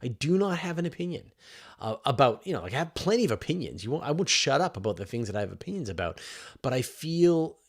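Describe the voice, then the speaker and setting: A man's voice: medium pitch, sing-song, quick pace. A man hosting a live science question show as a journalist, talking casually and emphatically and often rephrasing mid-thought. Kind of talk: casual livestream Q&A, reading questions and answering off the cuff.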